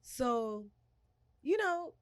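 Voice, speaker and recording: A woman's voice: high-pitched, sing-song, extremely slow, dry recording. Woman, blunt, no-nonsense storyteller, mid voice, dry close mic. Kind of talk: casual chat.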